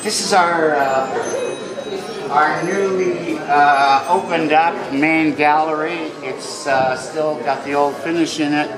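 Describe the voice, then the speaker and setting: A man's voice: fairly high pitch, steady tone. Casting: male tour guide, informal and welcoming, stop-start delivery, echoey interior with crowd chatter. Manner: halting, welcoming. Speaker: tour guide showing a radio society museum. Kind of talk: informal walk-through tour narration. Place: walking through museum building during a public event.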